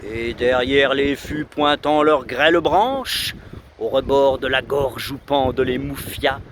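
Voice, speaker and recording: A man recites poetry in a solemn, declamatory manner with dramatic pauses, outdoors by the sea. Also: steady tone. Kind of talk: outdoor recitation of a 19th-century poem. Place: outdoors under trees on a windy seashore. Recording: loud wind buffeting the mic, roaring sea. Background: wind and rumbling sea.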